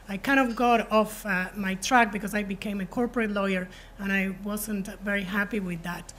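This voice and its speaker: A woman from Argentina speaks on an alumni panel in a university event room, telling her story earnestly. Her pitch sits in the middle range. A faint room ambience runs behind her. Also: a little hiss or hum. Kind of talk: panel introduction.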